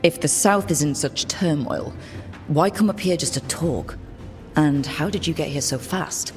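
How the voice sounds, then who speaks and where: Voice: mid-range voice, unhurried, close to an everyday voice. An actor as plain-spoken game hero over music. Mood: uneasy. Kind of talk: video game cutscene acting.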